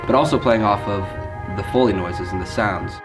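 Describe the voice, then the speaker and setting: A man's voice: lowish voice, a little fast, far from the mic, music in the background. A male stage actor reflecting thoughtfully on his work in a behind-the-scenes interview.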